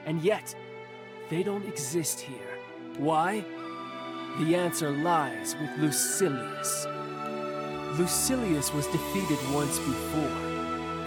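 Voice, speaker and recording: A theatrical holy messenger in a game, lofty old-fashioned pompous speech, music going behind. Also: female voice, sits low. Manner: lofty, archaic, pompous declamation. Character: grandiose divine emissary Primal, theatrical, exuberant, young-sounding. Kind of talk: scripted video game dialogue.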